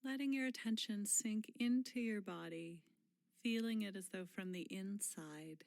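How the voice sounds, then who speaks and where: A woman leading a guided mindfulness meditation in a gentle, unhurried manner, pausing often and letting phrase endings fall soft and breathy. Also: medium pitch, dry recording, studio quality.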